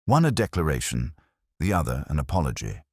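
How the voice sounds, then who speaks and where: A man narrating a detective mystery in the first person as an ageing, contemplative detective, in a measured, literary, reflective manner. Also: a bass voice, very animated, darkish tone, no room sound, studio quality. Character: ageing male detective, melancholy, contemplative, world-weary.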